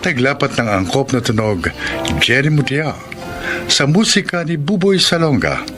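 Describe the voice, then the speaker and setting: A man's voice: medium pitch, sing-song. A man as a radio drama host, reading the credits and introducing the next story formally. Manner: slow, formal, measured. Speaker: program host and announcer. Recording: music bed behind voice.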